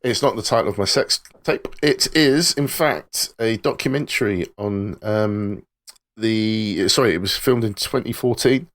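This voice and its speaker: A man's voice: on the low side, unhurried, a bit bright. A man co-hosting a podcast, recommending a show and a documentary in an easygoing, enthusiastic way. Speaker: podcast co-host.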